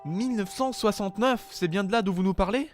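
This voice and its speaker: A man's voice: sits high, brisk, pitched above the performer's own voice, natural voice. A man voicing an insistent, clever young woman who questions impatiently, in an amateur fandub. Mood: puzzled. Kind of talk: amateur French fandub acting. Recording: home dub over background music.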